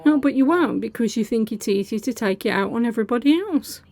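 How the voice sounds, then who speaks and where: A woman's voice: fairly high pitch, lively intonation, fast, darkish tone, dry recording. A woman hosting reaction commentary, talking in a sarcastic, scolding way. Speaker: commentary host.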